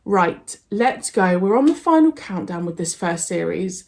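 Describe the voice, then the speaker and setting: A woman with a croaky, gravelly voice chats to her viewers. She rambles in a relaxed, casual way before a reaction. Her voice sits a touch low. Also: unhurried. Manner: chatty and rambling. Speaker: reaction channel host.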